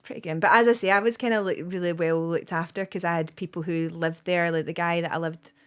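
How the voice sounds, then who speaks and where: A woman's voice: fairly low pitch, steady tone, fast talker, clean tone. A Scottish woman in her thirties, a guest comedian rambling in casual podcast chat with plenty of "like" and "um". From Scotland. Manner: rambling, lots of "like" and "um", bursts of laughter.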